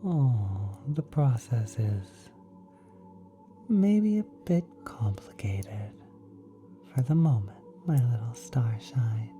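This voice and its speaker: A woman voicing a kind, doting celestial parent in an ASMR roleplay, soft and breathy with gentle, tender cooing. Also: very deep voice, expressive, slow pace, soft background music, heavily acted. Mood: tender, explaining. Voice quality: soft, breathy, partly whispered. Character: kind, doting ethereal cosmic being, parent of a mortal.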